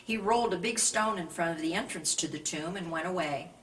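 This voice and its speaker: A woman with a slightly low-pitched voice reads a Bible narrative aloud. Her delivery is scripted and measured, in the manner of a scripture reader. She sounds somewhat distant from the microphone, with faint noise behind her. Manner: measured, raises voice for quoted speech.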